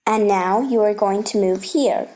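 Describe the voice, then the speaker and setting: A woman voicing a gentle scholarly uncle, patient and teasing as he explains things in a storybook play reading. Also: medium pitch, unhurried, lightly acted.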